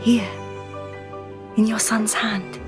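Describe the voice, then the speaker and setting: An actress playing a devoted, resolute young Victorian wife and amateur detective in a radio drama, earnest and formal in manner. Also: female voice, a little high, flat delivery, music in the background. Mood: tender, hushed. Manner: earnest, measured, formal period speech.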